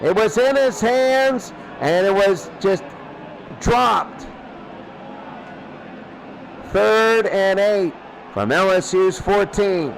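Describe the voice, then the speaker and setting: A man's voice: high voice, extremely slow, gravelly, pauses often, a little hiss or hum, rough recording. A man doing live radio play-by-play football commentary in clipped phrases that he often repeats. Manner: repeats phrases, clipped present-tense calls.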